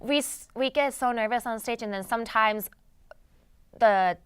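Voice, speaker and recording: A woman's voice: fairly high pitch, normal speed, clear, resonant tone, no room sound, clean studio sound. A young woman from Thailand, a native Thai speaker, answering interview questions in a casual conversational way. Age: young adult. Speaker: interview guest, pageant titleholder and journalism student.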